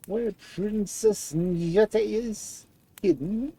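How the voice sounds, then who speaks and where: A storyteller voicing a mournful, grateful dragonfly in a weak, thin, slow and drawn-out voice. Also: mid-range voice, dark tone, husky, voice pushed up, exaggerated voice. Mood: earnest.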